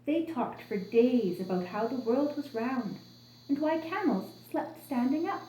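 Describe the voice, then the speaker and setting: A woman telling a children's story as an expressive storybook narrator. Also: high-pitched, unhurried, a little hiss or hum. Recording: roomy, echoing room sound.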